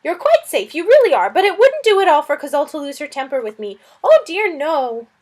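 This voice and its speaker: A woman's voice: high voice, sing-song, brisk, darkish tone, voice pushed up. A woman voicing a fussy, flustered little male lizard who dithers and frets in an audiobook. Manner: twittering, easily fretting, dithering. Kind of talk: audiobook character voice.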